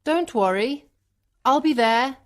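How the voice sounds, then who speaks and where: A woman's voice: high-pitched, expressive, takes it slowly. A woman playing a romantic, sensitive young bride-to-be in an acted audiobook. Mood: happy, loving.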